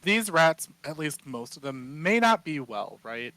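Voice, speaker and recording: A man's voice: a little high, big pitch swings, a little slow, bright, forceful tone. A man playing a tabletop roleplaying game, narrating his character casually with a wry, exasperated manner.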